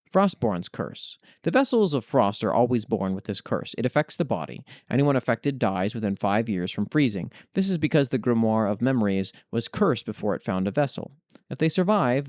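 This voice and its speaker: Male show host, lowish pitch, dry studio-like sound, reader and casual commentator. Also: a little mellow, dry recording. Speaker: host reading and reviewing listeners' fantasy writing. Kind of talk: scripted reading with casual commentary.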